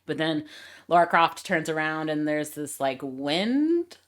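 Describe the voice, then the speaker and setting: A woman's voice: low-pitched, measured pace. A woman co-hosting a podcast, casually retelling a film's plot and acting out the characters' lines as she goes. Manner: retells scenes with lively quoted lines. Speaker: podcast co-host. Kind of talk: casual chat recapping a film.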